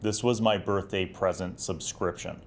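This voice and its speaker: Male vlog host, 40s, American, lowish pitch; nostalgic, reflective, keen, conversational delivery. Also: fairly even intonation, normal speed, a little hiss or hum. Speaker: host of a retro gaming video series. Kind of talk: casual nostalgic review vlog.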